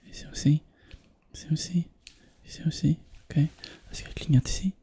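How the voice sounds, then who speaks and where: A man's voice: on the high side, steady tone, moderate pace, husky. Whispering man with a Quebec French accent. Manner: soft, hushed, reassuring. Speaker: ASMR roleplayer acting as an eye examiner. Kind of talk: whispered ASMR roleplay.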